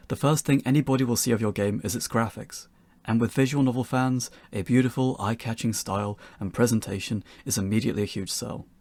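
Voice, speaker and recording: A man's voice: on the low side, vocal fry, breathy edge, no echo. A man reading scripted narration for an instructional talk, in a fluent, explanatory manner. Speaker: presenter of a tutorial talk.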